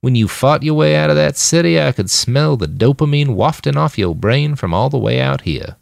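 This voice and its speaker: A man voicing a smug, amused, amoral male warmonger in an audiobook, self-satisfied and persuasive. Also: medium pitch, expressive, normal speed, vocal fry, dry recording, voice pushed up. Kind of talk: audiobook character voice.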